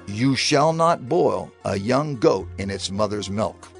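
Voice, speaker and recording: A man's voice: fairly low pitch, lively intonation, slow, creaky, heavily acted. A man playing God as a stern, solemn lawgiver, declaiming commandments emphatically in a dramatized audio Bible. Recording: music under the voice.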